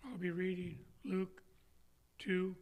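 An older man reads scripture at a church lectern, his voice hoarse from laryngitis and heavily gravelly. He sits fairly high for a man and takes his time, moving through each line slowly and carefully. The microphone is on a stand in front of him. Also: flat delivery, a little mellow. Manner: measured, careful reading with throat clearing. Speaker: scripture reader. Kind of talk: reading scripture aloud. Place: church sanctuary, indoors.

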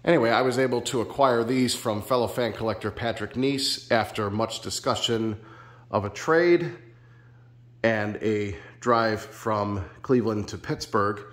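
Man, slightly low voice, nasal and congested, relaxed rambling vlog talk, home sunroom. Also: unhurried. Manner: relaxed, rambling, friendly enthusiasm. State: congested from a sinus infection, a bit nasal. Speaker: ceiling fan collector vlogger. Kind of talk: casual show-and-tell vlog.

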